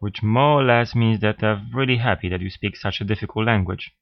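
A man's voice: lowish voice, fairly quick. Man co-hosting a language lesson podcast. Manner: steady, explanatory, prompting. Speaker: language teacher, podcast co-host. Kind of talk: scripted beginner language lesson. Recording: slightly dull lo-fi recording.

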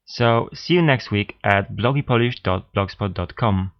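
A man's voice: lowish voice, moderate pace. Man co-hosting a language lesson podcast. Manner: steady, explanatory, prompting. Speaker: language teacher, podcast co-host. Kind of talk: scripted beginner language lesson. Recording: slightly dull lo-fi recording.

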